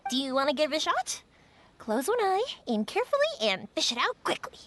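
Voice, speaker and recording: Lively, high-voiced festival girl. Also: fairly quick, heavy background noise. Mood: encouraging. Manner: encouraging, instructing. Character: confident, friendly young festival woman, playful teacher. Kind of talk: anime game voice acting.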